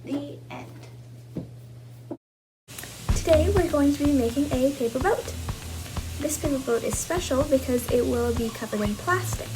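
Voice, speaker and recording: Young female reader for children's stories and craft tutorials, high-pitched, over music. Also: unhurried, pauses often, low-quality recording. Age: young adult. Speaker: volunteer storybook reader and craft demonstrator.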